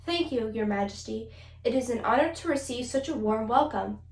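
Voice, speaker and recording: A woman's voice: on the high side, lively intonation, normal speed, darkish tone. A woman playing a demanding actress in the role of a queen, theatrical, in an echoey room. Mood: stately. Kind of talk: 1940s radio play acting.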